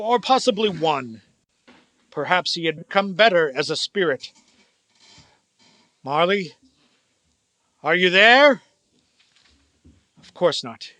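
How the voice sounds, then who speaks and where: A man's voice: sits high, pushed and bright, heavily acted. A man playing a cranky, fearful old miser in a theatrical, muttering radio play style. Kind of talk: stage radio play acting.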